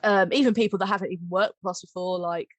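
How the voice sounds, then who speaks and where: A woman's voice: on the low side, steady tone, fast talker, dry recording. A woman hosting a casual, jokey group chat about audio drama, a little short of breath as she talks. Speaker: podcast host and audio drama writer.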